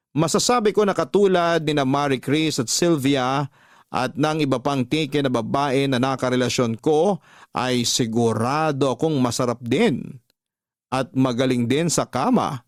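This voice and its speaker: A man's voice: medium pitch, dry recording, close to an everyday voice. Actor as confessing womanizer, dry close sound. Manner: measured, confessional storytelling. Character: unrepentant womanizer confessing his affairs in a letter. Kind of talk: first-person radio drama narration.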